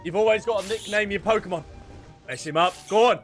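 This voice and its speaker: A man's voice: sits high, fast. A man streaming a video game, giving casual, playful, jokey play-by-play commentary. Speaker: gaming streamer. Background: game music.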